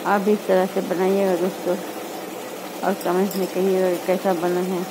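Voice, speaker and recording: A woman hosting a home cooking video, calmly explaining each step to her viewers in a home kitchen. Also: lowish voice, moderate pace. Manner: calm, instructive, addresses viewers as friends. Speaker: cooking channel host. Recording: loud kitchen noise. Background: frying sizzle and stirring pots.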